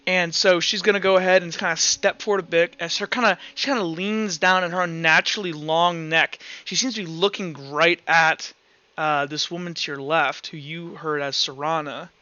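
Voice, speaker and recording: Bright-voiced man running a tabletop game. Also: on the high side, no room sound, muffled recording. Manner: casual, halting, animated storytelling. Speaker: game master. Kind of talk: improvised tabletop game narration. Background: faint music.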